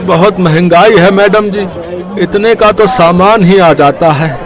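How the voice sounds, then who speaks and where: A man's voice: sits high, measured pace, crowd noise. A man voicing a poor, meek and devout village toy seller and father in a cartoon story. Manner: meek, apologetic.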